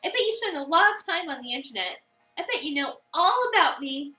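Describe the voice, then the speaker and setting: A woman's voice: high-pitched, big pitch swings, a little fast, pushed and bright, voice pushed up, full character voice. A woman playing a cocky, teasing young female cafe worker in a visual novel reading, smug and playful. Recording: roomy, distant mic, music behind.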